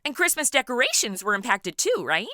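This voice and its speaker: A woman's voice: fairly high pitch, big pitch swings, a little fast, pushed and bright, no room sound, studio quality. A woman hosting a podcast interview in a casual, playful, quippy conversational way. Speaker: podcast host.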